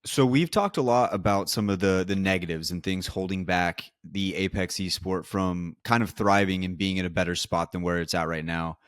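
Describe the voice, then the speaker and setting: Low-voiced man, dry podcast sound. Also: moderate pace, hardly any pauses, no echo. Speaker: podcast co-host, interviewer. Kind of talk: casual interview questioning.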